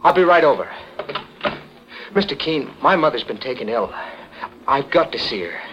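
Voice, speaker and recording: An actor playing an earnest, wounded young playwright, emotional and pleading in the style of 1940s radio melodrama. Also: male voice, medium pitch, very animated, normal speed, background noise, natural voice. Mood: anxious, pleading. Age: young adult. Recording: muffled vintage 1940s radio recording.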